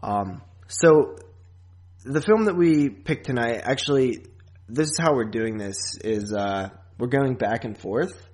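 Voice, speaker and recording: A man hosting a podcast in a casual, rambling, halting chat style with frequent asides. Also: medium pitch, lively intonation, a little mellow. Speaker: podcast host.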